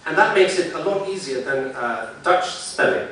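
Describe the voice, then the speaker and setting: A man's voice: on the high side, expressive, a bit bright, slight background noise. Man lecturing in a very echoey hall. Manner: conversational, thinking aloud with frequent pauses. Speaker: symposium speaker, phonetician. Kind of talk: academic conference talk. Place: large lecture room. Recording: very echoey hall, mic far off.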